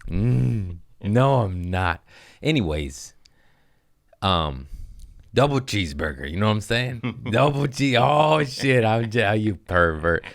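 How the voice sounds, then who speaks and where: A man's voice: on the low side, lively intonation, takes it slowly, vocal fry, no echo, studio quality. A man, a comedian hosting his podcast, talking in a casual, crude, rambling way and breaking off mid-sentence to restart. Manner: crude, rambling, jokes at his own expense. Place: podcast studio.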